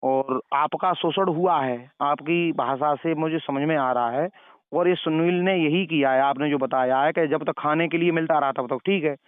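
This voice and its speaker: A man speaks over a narrow phone line with a fairly high, smooth and resonant voice. He moralizes like someone giving advice, often repeating his words to drive a point home. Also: normal speed, no echo. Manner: moralizing, preachy, repeats phrases. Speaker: caller questioning and advising the other party. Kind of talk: phone conversation. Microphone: telephone. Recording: narrow tinny phone-call recording.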